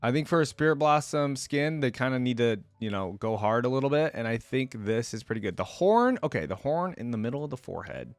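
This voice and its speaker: A man's voice: mid-range voice, lively intonation, brisk, hardly any pauses, dry recording. A man giving casual reaction commentary as a video host, slangy and thinking aloud as he weighs his opinions.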